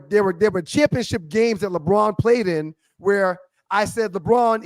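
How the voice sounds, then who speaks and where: A man's voice: very high-pitched, dry recording. A man co-hosting a live sports talk show, chatting casually, reading viewer comments and rambling through his points. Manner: rambling, restarts, laughs along.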